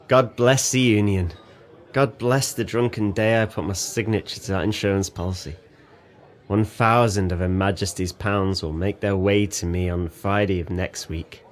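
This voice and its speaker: An actor playing a drunken, guilt-ridden former sailor in an audio drama, effusive, sentimental and rambling. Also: male voice, lowish voice, steady tone, moderate pace, close to an everyday voice. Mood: jubilant, grateful.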